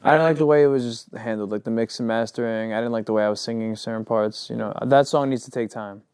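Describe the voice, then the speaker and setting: A man's voice: medium pitch, fast, darkish tone, clear, resonant tone, no echo, clean studio sound. A young man, a rapper and singer, talking casually in an interview, rambling and filling his sentences with "like". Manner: loose and rambling, heavy on "like".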